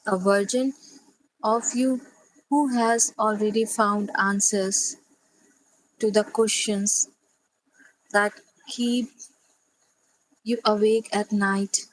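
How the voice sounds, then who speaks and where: Very slow, even-toned woman guiding meditation. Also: mid-range voice, dry recording, rough recording. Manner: gentle, unhurried, soothing delivery with long pauses. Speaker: meditation guide. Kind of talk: slow guided sleep meditation.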